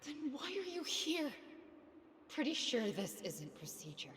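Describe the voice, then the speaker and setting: A woman's voice: high voice, very animated, measured pace, fairly bright tone, exaggerated voice. An actress playing a wounded, fiery woman in a dramatic video game scene. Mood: bitter, pleading. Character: wounded, fiery woman confronting a man she trusted. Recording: echoey hall reverb, faint noise.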